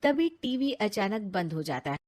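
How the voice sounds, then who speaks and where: A woman's voice: mid-range voice, very animated, normal speed, darkish tone. A woman narrating a scripted cartoon story as its storyteller.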